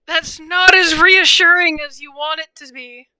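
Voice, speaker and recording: High, very bright-voiced woman streamer. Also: fairly even intonation, a little slow, dry recording. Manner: candid, teasing, talks back to characters. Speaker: game streamer. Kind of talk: casual live reaction commentary on a story game. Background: game music.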